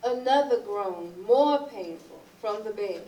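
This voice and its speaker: A woman's voice: mid-range voice, expressive, unhurried, mellow. A woman reading stage directions as scripted narration for a readers theatre play. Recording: roomy, distant, noisy recording.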